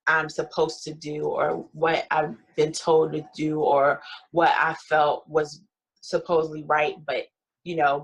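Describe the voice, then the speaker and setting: Show host, woman, deep voice, casual and affirming. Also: low-quality recording. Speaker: podcast host. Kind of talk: casual interview chat.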